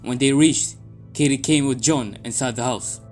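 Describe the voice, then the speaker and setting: A man narrates a scripted fiction story in a mid-range voice. Music plays clearly underneath his reading. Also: medium pitch, normal speed. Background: music bed.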